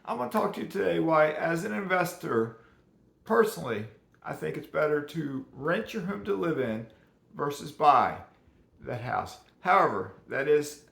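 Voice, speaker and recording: Hoarse, rambling man, gravelly and fairly high, for a casual home finance vlog in a roomy space. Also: animated, normal speed, fairly bright tone. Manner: rambling, chatty, laughs at himself. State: rough, hoarse voice today. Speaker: personal finance vlogger. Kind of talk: casual unscripted talk to camera.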